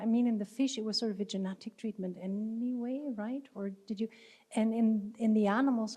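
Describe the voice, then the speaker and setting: A woman's voice: mid-range voice, mellow. A woman in the audience asking a speaker questions, thinking aloud and checking with tag questions. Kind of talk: conference Q&A question. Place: lecture hall.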